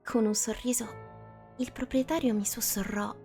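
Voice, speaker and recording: A woman's voice: a little high, fairly even intonation, moderate pace, dark tone, faint music behind. A woman reading a first-person story aloud in a live group reading, in a casual setting.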